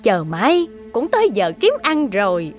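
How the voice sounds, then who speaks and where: A woman's voice: medium pitch, huge pitch swings, moderate pace. A woman voicing a cruel female centipede villain in a cartoon, taunting her prey with theatrical menace. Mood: scheming hunger. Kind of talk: animated fairy-tale dubbing. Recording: music behind the voice.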